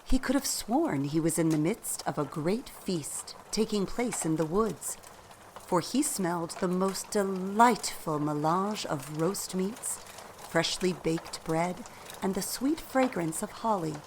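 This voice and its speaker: A woman with a fairly low voice narrates a radio play slowly and expressively. Her storytelling is deliberate, with a faint noise behind it. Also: animated, darkish tone, rough recording.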